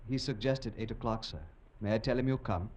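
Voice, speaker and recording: An actor playing a deferential household servant who speaks formally in an old-time radio drama. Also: male voice, fairly low pitch, a little fast, darkish tone, natural voice. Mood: polite. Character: polite male household servant. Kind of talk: 1940s radio acting.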